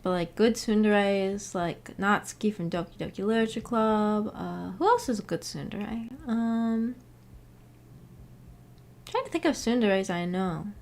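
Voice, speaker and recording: A woman hosting a casual livestream from home. Her voice sits at an average pitch for a woman. She rambles and thinks aloud in a giggly manner as she reads and answers comments. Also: animated, a little slow, darkish tone, a little hiss or hum. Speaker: streamer, book club host. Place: indoor home streaming setup.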